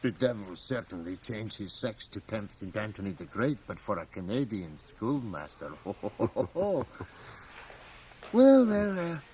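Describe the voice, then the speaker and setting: An actor playing a very old, mischievous and learned priest in a radio drama, with a gravelly aged voice and sly, chuckling asides. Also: male voice, mid-range voice, darkish tone, poor audio. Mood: amused. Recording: faint hiss, home cassette radio transfer.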